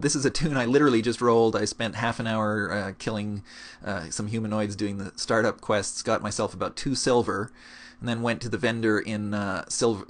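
A man with a fairly low voice talks through an on-screen demo. His delivery is relaxed and explanatory, with plenty of small hesitations as he clicks around. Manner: relaxed, explanatory, with many fillers. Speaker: game gold-making guide. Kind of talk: screencast walkthrough.

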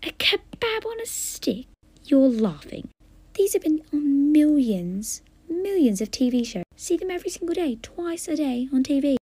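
A woman's voice: sits high, very animated, normal speed, dark tone, dry recording, pitched above the performer's own voice. A woman voicing a haughty, scathing female food critic who talks dramatically and breathily, calling people darling. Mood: scornful.

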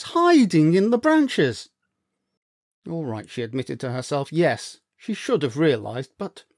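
A man narrates a story for children in a close, dry recording. His delivery is unhurried and very animated, with deliberate, dramatic pauses and emphatic phrasing. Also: a little high, dry recording.

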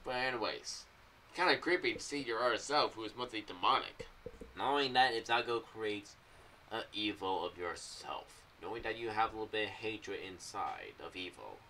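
Young male vlogger, lowish bright voice, casual rambling theory talk in a home room. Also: expressive, normal speed. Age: young adult. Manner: rambling, loosely phrased. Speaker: YouTuber reviewing an audio drama series. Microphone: condenser mic on boom arm with pop filter, slightly off to the side. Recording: slightly distant mic, faint background noise.

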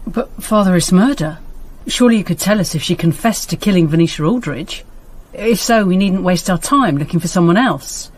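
An actress with a British accent playing a businesslike, persistent detective inspector in a radio drama, direct and practical. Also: female voice, lowish voice, lively intonation, normal speed, few pauses. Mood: insistent. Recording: noisy radio drama with ambience.

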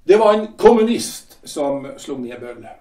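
An actor playing a shipyard director who talks in a measured, hesitant way with drawn-out pauses. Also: male voice, a little high, big pitch swings, warm tone, recorded from a distance. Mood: cool, probing. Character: smooth, veiled-threatening male shipyard director. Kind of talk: amateur audio drama acting. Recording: roomy echo, voice set back from mic.

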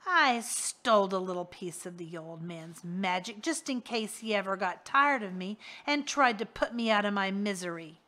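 A woman voicing a sly, boastful male henchman who taunts and wheedles in a raised, brightened voice. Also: mid-range voice, expressive, moderate pace, hardly any pauses. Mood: taunting.

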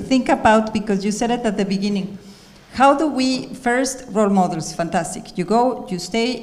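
A woman's voice: mid-range voice, darkish tone. Woman, panel moderator, enthusiastic, many fillers, handheld mic, long hall reverb. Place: theater stage at a panel event.

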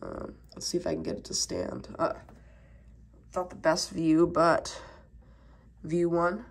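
A woman's voice: deep voice, big pitch swings, slow, creaky. A woman with a stuffed-up cold casually reviewing a toy, rambling with many fillers, recorded at a tabletop on a camera mic. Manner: rambling, many fillers, talking while handling toys.

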